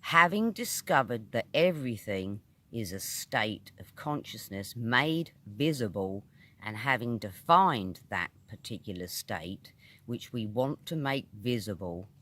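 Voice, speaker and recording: A man with a British accent reading a scripted instructive text in a measured, deliberate manner, pausing between short phrases. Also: lowish voice, expressive, sharp tone. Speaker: audiobook reader. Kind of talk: scripted narration of a metaphysical article.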